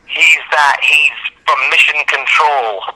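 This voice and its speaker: A man's voice: a little high, slow pace, sharp tone, a little hiss or hum, low-quality recording. A man chatting as a podcast guest over a cell phone held up to a microphone, sounding thin and telephonic. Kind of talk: casual chat.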